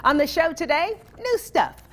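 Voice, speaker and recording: A woman's voice: extremely high pitch, expressive, unhurried, a bit bright, a little hiss or hum. An American woman hosting a news show, reading scripted items as a presenter.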